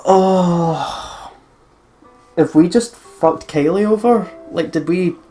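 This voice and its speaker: Expressive young woman hosting a game playthrough. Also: lowish voice, slow, faint music behind. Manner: expressive, sweary, exclaiming. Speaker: let's play host. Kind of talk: game commentary, casual chat.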